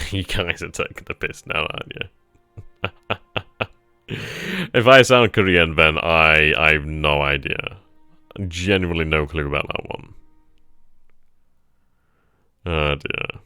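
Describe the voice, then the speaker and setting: A man's voice: extremely low pitch, measured pace, pauses often, sharp tone, smooth, no echo, soft background music. A man hosting a strategy game stream, thinking aloud over his moves and casually answering chat questions. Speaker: gaming channel host. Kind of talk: live strategy game commentary.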